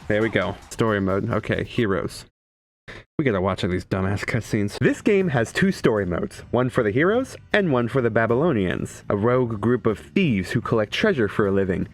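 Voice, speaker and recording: A man's voice: lowish voice, expressive, normal speed. Sarcastic male gamer over music. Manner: sarcastic, profane. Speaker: gaming video creator. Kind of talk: casual comedic game commentary. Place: indoors, streaming setup.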